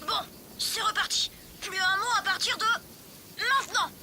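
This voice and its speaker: A woman's voice: very high-pitched, steady tone, measured pace, slight background noise, full character voice. A woman voicing a goofy, good-natured little boy in a French children's cartoon, clumsy, playful and a bit sheepish. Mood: resigned. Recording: loud music behind the voice.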